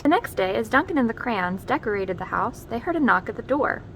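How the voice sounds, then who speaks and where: Higher-voiced woman reading a children's storybook. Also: lively intonation, a little fast, a bit bright, slight background noise. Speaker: read-aloud presenter. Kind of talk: expressive children's storybook narration.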